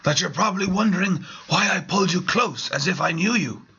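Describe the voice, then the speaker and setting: A man playing a solemn, confiding gentleman in a comic story, formal, urgent and portentous. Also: sits high, brisk, breathy edge, highs cut off, pitched above the performer's own voice, exaggerated voice. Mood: conspiratorial. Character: confiding, solemn man spreading mysterious news.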